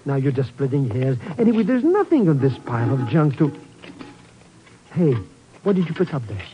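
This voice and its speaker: An actor playing an excitable, cowardly schemer of a sidekick in a 1950s radio drama, stammering and wheedling. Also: male voice, medium pitch, normal speed, soft background music, lightly acted. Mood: puzzled. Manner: stammering, wheedling, swooping exclamations. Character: excitable, cowardly comic foreign sidekick, a fast-talking schemer. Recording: noisy, dull old 1950s radio recording.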